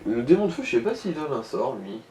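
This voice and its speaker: A man's voice: medium pitch, very animated, quick pace, warm tone, a little hiss or hum. A man giving casual, slangy Let's Play commentary and coaching a player, with some swearing. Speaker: gaming co-host guiding a player.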